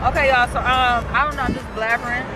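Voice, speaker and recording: A woman's voice: on the high side, steady tone, quick pace. A young American woman chatting casually to her vlog in an informal, slangy, rambling way. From Milwaukee, United States. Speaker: vlogger. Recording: loud music, crowd and street noise behind. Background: music, crowd, car and traffic sounds.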